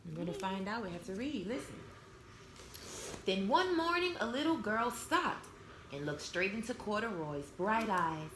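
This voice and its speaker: A woman reading a storybook aloud to young children, expressive, pausing to explain and ask simple questions. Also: medium pitch. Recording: echoey room with background noise.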